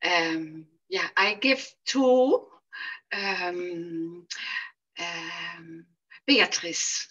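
An older woman with an Austrian accent talks extremely slowly, searching for her words. Her voice is slightly low but sharp and bright, heard through somewhat dull video-call audio. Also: fairly even intonation, slightly rough. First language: German. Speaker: participant in an online women's group. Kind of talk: personal check-in sharing, conversational. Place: indoor room at home, on a video call. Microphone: computer mic on video call.

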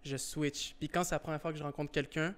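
Lively young man, podcast host. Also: a little high, a little fast, clean tone. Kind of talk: casual podcast chat. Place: indoor podcast set with couches. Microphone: handheld mic.

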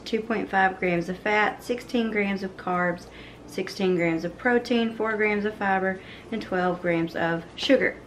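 A woman's voice: mid-range voice, fairly bright tone, slight background noise, poor audio. A young woman in her twenties chatting casually while cooking in her home kitchen, filmed on a camera set on the counter. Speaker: cooking vlogger.

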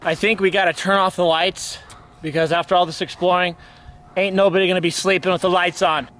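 A man's voice: sits high, level tone, fairly quick, fairly bright tone, slight background noise. A young man hosting a night vlog in a stadium, talking playfully to viewers. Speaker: vlogger. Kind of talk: group vlog narration.

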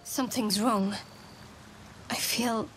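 A slow, guarded female adventurer. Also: on the high side, steady tone, faint music behind. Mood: alarmed. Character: guarded, troubled adventurer woman in a fantasy game. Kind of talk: dramatic video game dialogue.

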